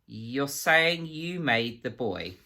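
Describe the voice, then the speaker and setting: A man voicing a softly menacing, coldly polite male official who questions with formal, conversational precision in a storybook reading. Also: medium pitch, lively intonation, slow, creaky, voice pushed up, full character voice. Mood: quietly disdainful.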